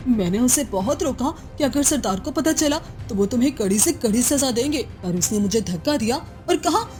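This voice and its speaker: Scheming cartoon wife voiced by a woman: high pitch, jealous and commanding, slyly coaxing, faint music behind. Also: expressive, normal speed, a little mellow, close to an everyday voice. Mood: slyly soothing. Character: scheming, jealous, cruel chieftain's wife feigning sweetness. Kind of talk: Hindi cartoon moral-story voice acting.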